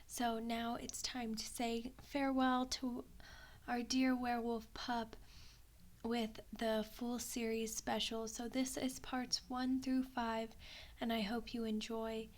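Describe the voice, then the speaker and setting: Female ASMR channel host, fairly high voice, unhurried soft announcement read. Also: flat delivery.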